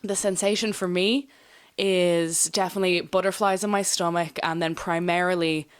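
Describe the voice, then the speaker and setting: A woman's voice: fairly low pitch, steady tone, moderate pace, smooth. A young adult woman, a guest in a casual interview, talking candidly and reflectively about her own experiences.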